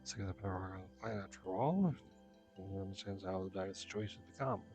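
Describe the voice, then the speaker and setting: Low, creaky-voiced man muttering while gaming. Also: fast, a little mellow. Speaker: video game player. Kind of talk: casual gameplay commentary. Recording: faint game music behind.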